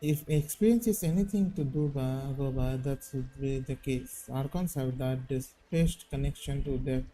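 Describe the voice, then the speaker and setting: Mellow Indian man commenting on gameplay. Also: medium pitch, moderate pace, soft background music, low-quality recording. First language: Hindi. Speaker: gaming streamer. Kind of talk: casual gameplay commentary, reading dialogue aloud.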